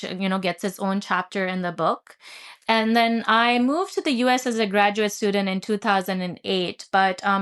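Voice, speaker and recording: A woman in her forties, from Pakistan, talks as a guest in a studio interview. Her voice is smooth and mid-pitched, and she feels her way through answers with plenty of fillers and hesitations. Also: fairly bright tone. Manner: thoughtful, many fillers and hesitations. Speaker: guest author. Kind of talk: casual interview chat. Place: recording studio.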